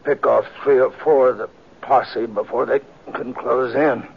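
An actor playing a wounded small-town sheriff, weary and pleading, speaking weakly and haltingly in a 1950s radio western. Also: male voice, mid-range voice, steady tone, vocal fry, slightly rough. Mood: weary warning. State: weak, labored, halting speech. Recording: muffled, hissy old radio recording.

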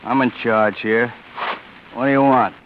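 A man's voice: mid-range voice, fairly even intonation, a little slow, lightly acted. Man playing curt, impatient landlord on tinny radio. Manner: curt, irritable. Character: gruff, impatient man renting out vacant premises. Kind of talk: 1940s radio acting. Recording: tinny, faintly noisy old radio broadcast.

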